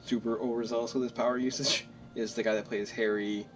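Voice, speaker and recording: A man chatting casually as he streams a game, joking and rambling in an animated way. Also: medium pitch, a little fast, crowd noise. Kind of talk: casual gameplay commentary and chat.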